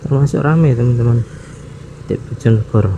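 A man's voice: mid-range voice, creaky, warm tone, highs cut off. A man, narrating his motorcycle ride casually, musing aloud and wondering where to go. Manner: unhurried, musing aloud, chuckling. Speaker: motovlog host. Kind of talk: casual narration while riding. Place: outdoors on a motorcycle in city traffic. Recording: street traffic noise behind voice. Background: traffic and engine noise.

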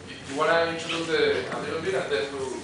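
A man hosting an informal live stage introduction at a movie theater, speaking in a halting, hesitant way. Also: fairly high pitch, fairly even intonation. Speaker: festival programmer and Q&A host. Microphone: handheld PA microphone, picked up from audience. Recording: echoey, noisy theater, far from camera mic.

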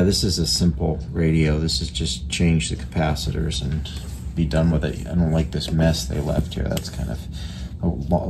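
A man giving casual hands-on repair commentary, halting and thinking aloud as he works at an indoor bench. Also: a bass voice. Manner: unscripted, halting, thinking aloud while working. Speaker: radio repairer demonstrating his work. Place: indoor workbench. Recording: noisy workshop recording with clicks.

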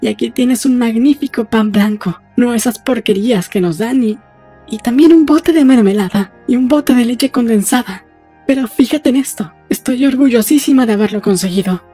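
A woman acting brisk Julia, bright-voiced young rebel. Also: fairly high pitch, slightly rough, faint music behind. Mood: excited, proud. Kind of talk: dramatised audiobook dialogue.

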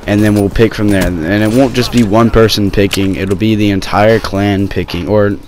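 Low-voiced young man with sore throat. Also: darkish tone. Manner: rambling, many fillers and restarts. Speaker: gaming channel host. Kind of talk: casual talk over gameplay.

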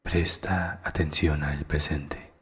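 Very deep, slow-speaking man guiding meditation. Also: fairly even intonation. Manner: hushed, deliberate, with long pauses. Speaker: guided meditation narrator. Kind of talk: slow soothing guided meditation.

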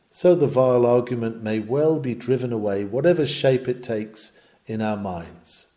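Guy reading out an old sermon, soft mellow voice, earnest preachy delivery, mid pitch. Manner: earnest, rhetorical, emphatic questioning. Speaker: reader of historic sermons. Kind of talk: sermon read aloud, oratorical.

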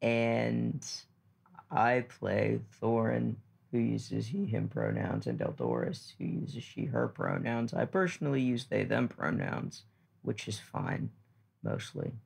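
A man bantering casually as a player on a tabletop game podcast in a deadpan manner. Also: medium pitch, slow pace, creaky, husky.

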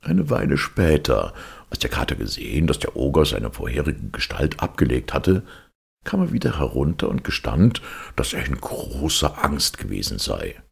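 Male fairy-tale narrator: extremely low, husky, highly animated. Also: moderate pace. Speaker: narrator, audiobook reader.

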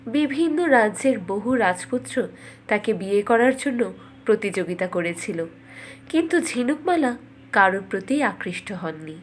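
A woman's voice: mid-range voice, lively intonation, normal speed, a little hiss or hum. A woman reading scripted fairytale narration for a cartoon as a storyteller.

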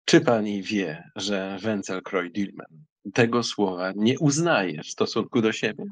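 A proud young count in an audiobook drama, played by a man, low and husky. He speaks with suave, teasing insistence, recorded close and dry. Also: measured pace, no echo. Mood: insistent. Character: proud, audacious young count courting a lady.

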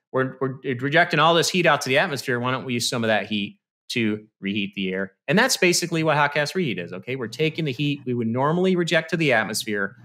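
A man in his thirties with a bright, forceful voice walks through technical slides. He talks conversationally, peppering his explanations with "you know" and "okay". His voice comes over a studio microphone on a video call. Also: medium pitch. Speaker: HVAC presenter. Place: small indoor room. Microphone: studio mic on stand with headphones, video call.

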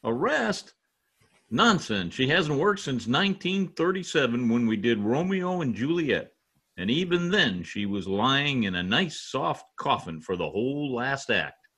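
A man's voice: mid-range voice, sing-song, a little slow, fairly bright tone, slightly rough, heavily acted. An older man playing a pompous, grumbling husband and hammy actor in an amateur old-time radio comedy. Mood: scornful. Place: home office, video call. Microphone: video call.

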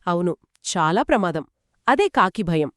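A woman's voice: fairly low pitch, sing-song, measured pace, fairly bright tone, no echo, clean studio sound. A woman telling a children's story conversationally, engaged and dramatic as she speaks to young listeners.

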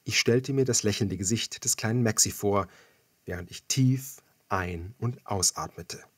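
Low, husky male audiobook narrator. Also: measured pace, dry recording. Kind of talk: scripted first-person thriller narration.

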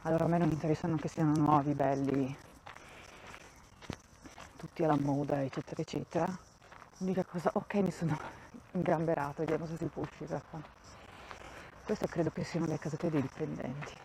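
A woman with a soft, mellow voice chats casually as she strolls through a wooded campsite, wandering off mid-sentence and picking her thought back up again. Her voice is recorded on a handheld phone outdoors, with a faint hum of birds and open air behind her. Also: deep voice, fairly even intonation, brisk, long pauses, low-quality recording. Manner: rambling, thinking aloud, often restarts sentences. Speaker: vlogger touring a campsite. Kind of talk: casual walking tour commentary. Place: outdoors in a wooded campground, walking.

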